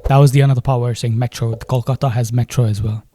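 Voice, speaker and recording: A man's voice: mid-range voice, fairly even intonation, fast, music in the background. A man from Delhi with an Indian English accent and Hindi as his first language, thinking aloud and questioning as he reacts to a film trailer.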